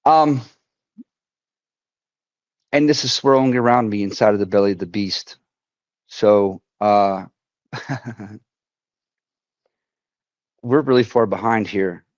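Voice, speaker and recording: A man, a veteran, telling a personal story at a community council in a halting way, often restarting and quoting others. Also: on the low side, dry recording, lo-fi sound. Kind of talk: personal story told to an online forum.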